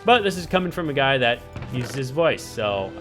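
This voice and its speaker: Casting note: male let's-play host, a touch nasal, mid pitch, easygoing commentary, light music bed. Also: sing-song, normal speed. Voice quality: somewhat nasal voice. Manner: chatty, thinks aloud while playing, frequent ums and restarts. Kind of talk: casual gameplay commentary.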